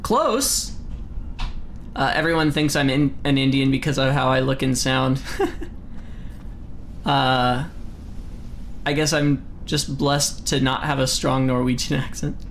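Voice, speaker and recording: A young man from the United States chatting casually with his stream chat while gaming at home, reading messages aloud and breaking into sudden loud exclamations. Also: medium pitch, slow, pauses often, a little hiss or hum. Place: home room, seated in desk chair.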